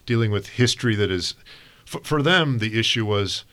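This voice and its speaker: Male moderator from Thailand, low register, inquisitive, reads verses. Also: expressive, moderate pace, a bit bright. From northern Thailand. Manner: asks questions, reads scripture aloud. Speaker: discussion moderator. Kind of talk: panel Bible study discussion.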